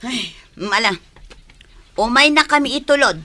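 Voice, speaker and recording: A woman's voice: on the high side, expressive, unhurried, pushed and bright. An actress playing a stern, exasperated mother of many children in a radio drama, firm and scolding.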